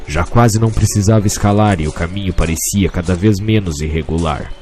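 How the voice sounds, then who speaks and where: A man's voice: deep voice, a little fast, dark tone, faint music behind. A man reading a scripted fantasy tale as narrator, in a solemn, literary manner.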